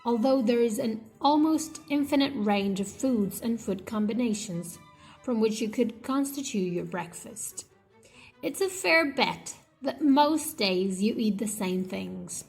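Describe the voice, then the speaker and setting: A woman reading an academic textbook aloud as scripted narration, with measured, deliberate phrasing in short segments. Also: fairly high pitch, animated, creaky, soft background music.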